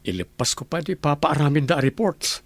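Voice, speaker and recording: A man's voice: a little high, animated, normal speed, dry recording. A man acting a mild, hard-working father in an Ilocano radio comedy drama. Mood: weary, dutiful.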